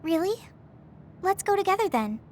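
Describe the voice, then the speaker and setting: Very high-pitched earnest young woman. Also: very animated, moderate pace, darkish tone, slight background noise. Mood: earnest, helpful. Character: earnest, easily flustered young woman with flame powers. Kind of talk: anime game voice acting.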